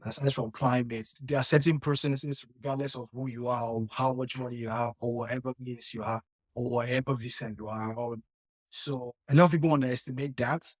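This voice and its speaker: Young nigerian-born guy on a podcast, mid pitch, dry close mic, earnest and reflective, lots of "like"s. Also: husky, no room sound. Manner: earnest, reflective, many "like" fillers. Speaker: podcast co-host. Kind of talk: casual chat.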